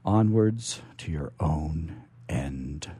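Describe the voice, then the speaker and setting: Theatrical, husky male radio host, swooping intonation. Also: a bass voice, expressive, extremely slow, warm tone. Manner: theatrical, playful, effusive. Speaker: host of a radio storytelling show. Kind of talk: showman-like radio hosting and story reading.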